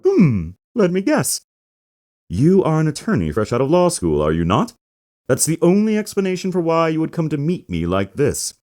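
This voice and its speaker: A man's voice: on the high side, wildly swooping pitch, normal speed, a little mellow. A man voicing a smug, flamboyantly arrogant company president in a theatrical video game fan dub, with a pompous, grandiose way of talking. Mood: smug, boastful. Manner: pompous, grandiose, mangles big invented words.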